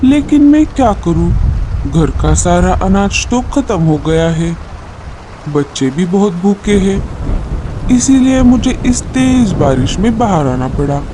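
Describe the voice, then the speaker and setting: A man voicing a small, gentle mother bird who speaks earnestly and politely in a children's cartoon. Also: high voice, sing-song, unhurried, noisy background. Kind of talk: Hindi children's cartoon dialogue.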